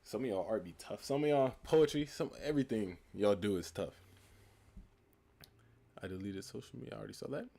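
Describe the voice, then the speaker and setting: Calm, low, gravelly young man. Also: brisk, pauses often. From United States. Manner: calm, laid-back, reflective, reads chat questions aloud. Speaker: streamer answering live chat. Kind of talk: casual live stream chat.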